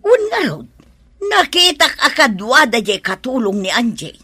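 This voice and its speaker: A woman's voice: fairly high pitch, big pitch swings, normal speed, sharp tone, breathy edge, no echo, full character voice. A woman with an Ilocano accent acting as a nosy, skeptical middle-aged mother in a radio drama. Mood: gossipy.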